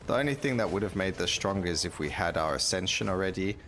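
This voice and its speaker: Male gaming host, deep and gravelly, casual rambling play-by-play over light game music. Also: animated, fast talker, a bit bright. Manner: rambling, thinking aloud mid-sentence. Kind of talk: casual gameplay commentary.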